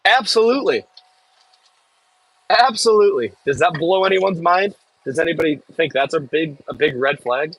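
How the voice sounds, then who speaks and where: A man with a Michigan accent chats loosely on his livestream, answering viewers' comments as they come in. His voice sits a little high for a man, and the sound is dry and close, with no room echo. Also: animated, normal speed, a bit bright, husky. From Michigan, US Midwest. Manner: rambling, reads and answers chat aloud. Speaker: livestream host. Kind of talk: casual live-stream chat.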